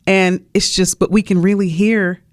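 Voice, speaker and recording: A woman's voice: medium pitch, fairly even intonation, normal speed, dry recording, clean studio sound. A woman radio host interviewing a guest conversationally in an effusive, affirming manner. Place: radio studio.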